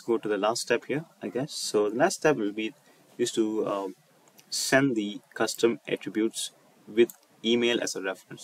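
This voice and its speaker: A man presenting an unscripted coding walkthrough over a screen recording, speaking haltingly and often repeating and rephrasing his phrases. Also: fairly low pitch, a little hiss or hum. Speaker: tutorial presenter.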